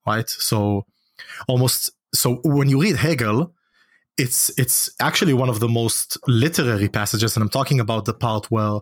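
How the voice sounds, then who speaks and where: A native Hebrew-speaking man in intellectual conversation, with a middle-pitched voice and a dry recording free of room sound. He talks discursively as a podcast guest, often tagging his points with a quick check for agreement. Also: dry recording.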